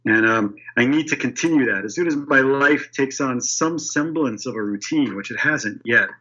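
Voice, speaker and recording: Bright-toned man in his fifties, reflective. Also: mid-range voice, moderate pace. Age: 50s. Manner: thoughtful, restarts and hedges, laughs lightly. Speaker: primate of a diocese. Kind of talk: interview answers. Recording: slightly dull lo-fi audio.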